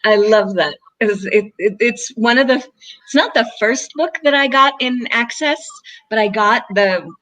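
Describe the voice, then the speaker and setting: A woman from Israel co-hosting a casual online interview over a video call, bubbly and quick to laugh, often restarting her sentences. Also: a little high, fairly bright tone, poor audio. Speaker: co-host.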